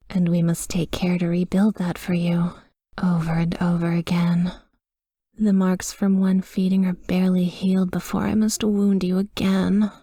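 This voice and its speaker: A woman playing a tender, tormented vampire lover in a slow, breathy, whispered ASMR roleplay, hushed and intimate. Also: lowish voice, dry recording, studio quality. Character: tender, tormented female vampire lover watching over her sleeping partner.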